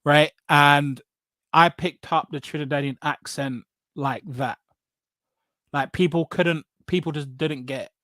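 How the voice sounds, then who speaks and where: An English man with a fairly high voice talks casually about his own experiences. He is blunt and emphatic, doubles back on phrases and tags points with "right?" The sound is dry and close. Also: steady tone, measured pace, a bit bright, no echo. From England. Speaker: podcast guest. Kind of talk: casual personal storytelling in conversation.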